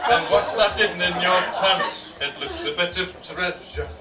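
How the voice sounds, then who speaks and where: A man's voice: high-pitched, normal speed, lightly acted. A man playing a stern, suspicious pantomime character, declaiming rhymes in an amateur stage show. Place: small bar stage, distant camera. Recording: echoey, very noisy, far from mic.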